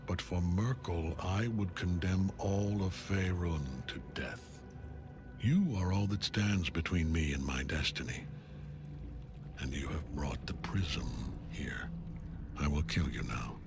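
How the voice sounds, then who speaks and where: A grim older general sworn to a god of death, cold and brooding. His voice is very deep and he speaks slowly and deliberately, with loud music under the game scene. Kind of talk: video game cutscene dialogue.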